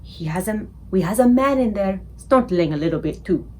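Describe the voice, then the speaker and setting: A woman voicing a gentle, confident male giant from a children's storybook, with folksy, ungrammatical speech full of invented words. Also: medium pitch, expressive, moderate pace, voice pushed down, lightly acted. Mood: hushed, confident. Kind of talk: children's book character voice.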